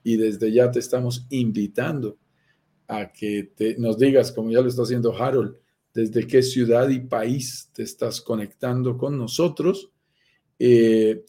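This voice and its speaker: A Colombian man hosting a live stream, pitching property investment in an upbeat sales manner with frequent fillers. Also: mellow. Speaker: host, sales director of a property firm.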